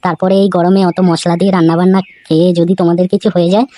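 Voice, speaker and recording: A woman's voice: on the low side, little pitch movement, rapid-fire, mellow, close to an everyday voice. Woman playing a bossy cartoon mother-in-law. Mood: insistent, self-justifying. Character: practical, bossy middle-aged mother-in-law. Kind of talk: comedy cartoon dialogue. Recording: music under dialogue.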